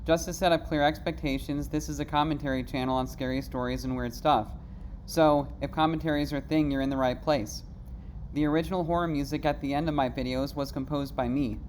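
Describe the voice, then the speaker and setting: A man's voice: fairly high pitch, steady tone, fairly quick, a little mellow, a little hiss or hum, low-quality recording. An American man hosting a commentary channel, talking casually and directly to his viewers. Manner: informal, addressing viewers directly.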